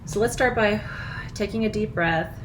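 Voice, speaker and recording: A middle-aged woman with a froggy, hoarse voice, hosting a live online ritual from her small house in casual, bubbly chat, laughing often. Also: mid-range voice, moderate pace, noisy background. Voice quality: froggy, husky. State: hoarse, nearly lost voice. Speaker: spiritual teacher hosting a live full moon ritual.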